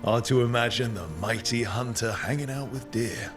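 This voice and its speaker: An actor playing a supportive, wry male hero in a fantasy video game, calm and lightly teasing. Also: mid-range voice, moderate pace, lightly acted. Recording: loud music behind the voice.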